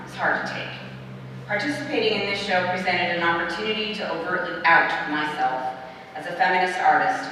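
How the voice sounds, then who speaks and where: Loud, bright-voiced woman in a big echoey gallery. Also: deep voice, recorded from a distance, a little hiss or hum. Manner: chatty and self-deprecating, loud voice. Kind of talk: gallery artist talk. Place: art gallery.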